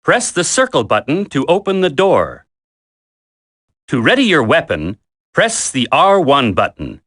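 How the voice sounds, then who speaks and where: Firm, lively-pitched military game instructor. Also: male voice, full character voice. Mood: instructive, firm. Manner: instructive, deliberate, commanding. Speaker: tutorial instructor. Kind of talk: scripted video game tutorial narration.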